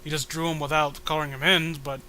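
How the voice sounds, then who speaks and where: A man co-hosting a comic read-along, riffing casually in an exasperated, profane, halting way. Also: on the high side, flat delivery, pushed and bright, slight background noise. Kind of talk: casual comedic riffing and commentary.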